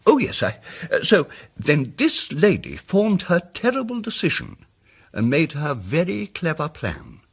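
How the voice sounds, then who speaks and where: A mild, apologetic amateur detective in a radio mystery, his fairly high voice rising and falling dramatically. He is hesitant, fussy and politely precise, with a husky edge. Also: huge pitch swings, unhurried, full character voice. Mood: hesitant, earnest.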